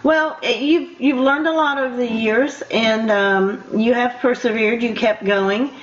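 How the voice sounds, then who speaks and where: An older woman interviewing a guest casually as a radio host, in a halting, rambling, encouraging way. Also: fairly high pitch, fairly even intonation. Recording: dull lo-fi radio interview audio.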